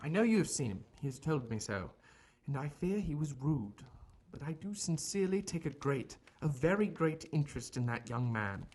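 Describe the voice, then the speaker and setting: A man acting in an audio drama as a genial but guarded Victorian gentleman doctor, speaking in formal, courteous, earnest phrases. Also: on the high side, sing-song, normal speed, slightly rough. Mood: earnest, pleading.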